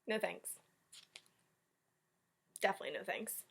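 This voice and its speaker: A woman's voice: medium pitch, unhurried, gravelly, fairly bright tone, slightly rough. A woman teacher leading a read-aloud storytime, chatting directly to young children and commenting as she goes. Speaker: elementary school teacher.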